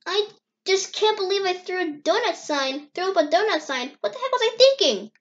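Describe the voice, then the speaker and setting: A very high, emotional cartoon fox boy acted out by a woman, earnest and anguished. The voice sits in a dull, lo-fi comic dub recording. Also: animated, moderate pace. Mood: apologetic. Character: anguished young male giant fox, emotional and self-blaming.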